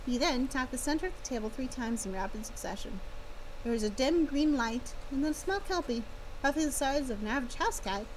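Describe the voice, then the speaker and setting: Woman streamer doing a read along, kinda high voice, playful side comments, bit of noise behind her. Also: animated, fairly quick, low-quality recording. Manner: playful, exclaims in asides. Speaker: livestreamer, author reading her own story aloud. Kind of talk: story read-along with playful casual asides. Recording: clear background noise.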